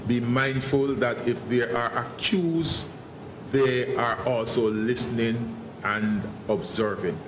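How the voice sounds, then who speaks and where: A man speaking as a senior police investigator at a press briefing, guarded and formal, often halting and repeating words. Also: medium pitch, steady tone, reverberant. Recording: noisy online press briefing audio.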